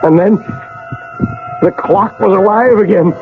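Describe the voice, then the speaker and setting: An actor playing an obsessed, guilt-ridden male clockmaker in a radio drama, with an intense, halting, theatrical delivery. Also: sits high, background noise. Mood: haunted, tense. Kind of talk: 1980 radio drama acting, first-person narration. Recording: lo-fi radio broadcast, music behind.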